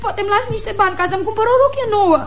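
Jo, a high-pitched cheeky teenage girl. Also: a little hiss or hum. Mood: resentful, demanding. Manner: pert, teasing, challenging. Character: teenage girl, resentful, cheeky, probing daughter. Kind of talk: radio theatre acting.

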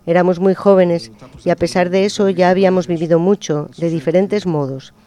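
A man on a radio program, reading a personal letter aloud in a slow, intimate, reflective way. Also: sits high, steady tone. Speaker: radio presenter. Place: radio studio. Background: soft guitar music.

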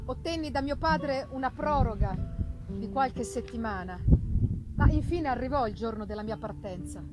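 A woman reads a gothic novel as dramatic literary narration, in measured, solemn, theatrical delivery, with live musical accompaniment. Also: fairly high pitch, animated, loud music behind the voice. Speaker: reader performing a literary audiobook.

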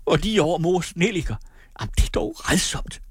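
A man plays a fussy, protective young woman from a novel. The voice is pushed high and breathy, with indignant exclamations. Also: sing-song, dry recording, in a whisper, studio quality.

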